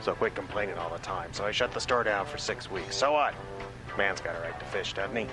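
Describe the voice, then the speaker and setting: Casting: actor as a grumbling bait shop owner, low voice, music behind. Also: male voice, fairly quick, lightly acted, rough recording. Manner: grumbling, cranky. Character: gruff middle-aged male bait shop owner, grumpy. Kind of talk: radio comedy ad.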